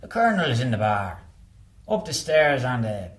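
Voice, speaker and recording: A man's voice: medium pitch, big pitch swings, normal speed, echoey room, natural voice. A man voicing a muddled older Irish doorman who slurs his words, in an audiobook. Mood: hesitant, helpful. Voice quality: slurred words.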